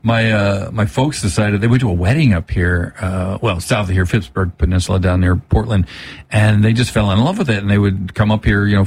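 A man's voice: fairly low pitch, darkish tone. A man from Hawaii chatting casually as a guest musician on a radio show, rambling in a laid-back, hippie way. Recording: clearly noisy background.

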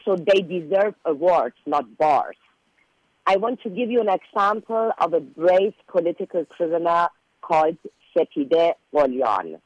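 A man whose first language is Persian, speaking earnestly and in measured phrases as an interview guest making a prepared statement. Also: a little high, animated, no room sound, poor audio. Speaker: guest, relative of a political prisoner.

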